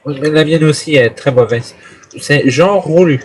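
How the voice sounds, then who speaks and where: A man's voice: a little high, moderate pace, a little mellow. A man making an improvised phone call as a hotel guest, speaking in a hesitant, rambling way. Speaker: prank caller posing as a hotel guest. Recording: noisy phone call with clicks.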